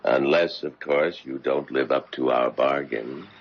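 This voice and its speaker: An actor playing a mysterious man with a measured, commanding, cryptic manner, a calm guide through time in a 1970s radio drama. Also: a bass voice, a little hiss or hum. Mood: firm, knowing. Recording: muffled vintage radio broadcast, music behind.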